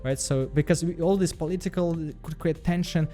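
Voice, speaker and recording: Man from Belarus, self-help vlogger, higher voice, soft tone, faint music bed. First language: Russian. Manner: halting, repeats words, frequent fillers. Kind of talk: casual self-help vlog monologue.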